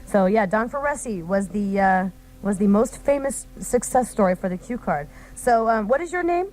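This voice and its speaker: A woman's voice: medium pitch, lively intonation, normal speed, a little mellow. A woman hosting a live radio show from a studio, chatting casually with callers. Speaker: radio host.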